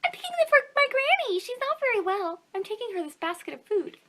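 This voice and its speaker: A woman reading a storybook aloud and voicing a polite, trusting little girl in an eager, bright way. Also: extremely high pitch, big pitch swings, a little fast, voice pushed up, exaggerated voice. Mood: innocent.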